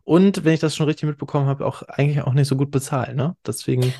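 A man's voice: on the high side, fast talker, mellow. A man hosting a podcast in a casual, chatty interview style, addressing listeners directly in informal language. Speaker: podcast host.

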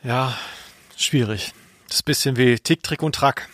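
A man's voice: medium pitch, moderate pace, a bit bright, dry recording. A man with a German accent co-hosting a podcast, giving dry, brief replies in casual banter. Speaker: podcast co-host.

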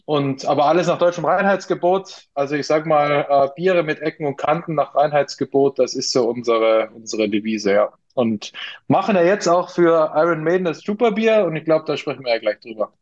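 A man's voice: a little high, lively intonation, moderate pace. A man in his forties with an Austrian accent, answering casually as an interview guest and often hesitating. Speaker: interview guest, brewery co-founder. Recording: dull, lo-fi interview audio.

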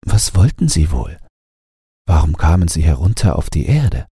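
Deep mellow guy reading a bedtime tale, really dry close sound, gentle and measured. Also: very animated, breathy edge, dry recording. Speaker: audiobook narrator. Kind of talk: scripted bedtime story narration.

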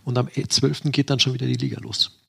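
Fast-talking, gravelly male podcast co-host. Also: mid-range voice, fairly even intonation, a bit bright. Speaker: podcast co-host, sports commentator. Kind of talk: casual sports chat.

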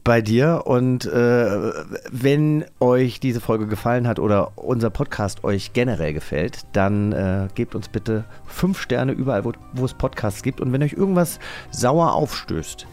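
A man's voice: medium pitch, expressive, a little slow, darkish tone, faint music behind. A man co-hosting a podcast in a casual, jokey and somewhat rambling way. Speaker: podcast co-host.

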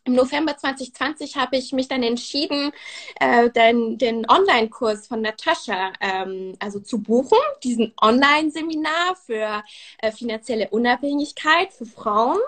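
A woman's voice: fairly high pitch, moderate pace, a bit bright. A woman in her twenties from Switzerland with a Swiss German accent, a native speaker of Swiss German and French, chatting as a guest in a live interview with many fillers and restarts. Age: 20s. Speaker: podcast guest.

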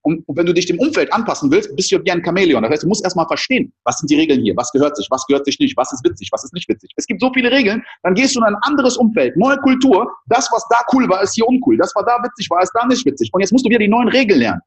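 A man in his thirties, raised in the Ivory Coast, telling his life story as an interview guest with lively, filler-heavy talk. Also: high voice, very fast, few pauses. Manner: animated storytelling with many fillers.

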